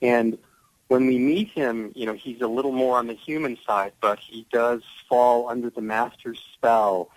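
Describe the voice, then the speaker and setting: A man's voice: mid-range voice, steady tone, moderate pace, poor audio. A middle-aged American man from the Chicago area, an actor telling anecdotes as a radio guest, effusive and dramatic in his emphasis. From Chicago area, United States. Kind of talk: radio interview, anecdotal storytelling.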